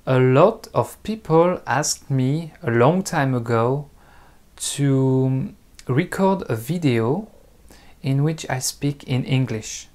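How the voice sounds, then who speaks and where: French-accented male host, unhurried and halting, frequent filler pauses, off-the-cuff talk to viewers. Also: mid-range voice. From France. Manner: hesitant, pausing often with ums and uhs. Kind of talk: unscripted talk to camera.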